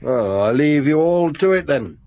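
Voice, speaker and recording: An actor playing a brisk, matter-of-fact local police officer in a radio drama, businesslike with a wry edge. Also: male voice, on the high side, expressive, darkish tone.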